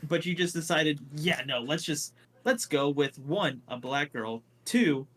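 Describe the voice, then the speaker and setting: A man's voice: fairly high pitch, unhurried, a bit bright. A man co-hosting a casual pop culture podcast, opinionated and swearing freely as he talks. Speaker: podcast co-host.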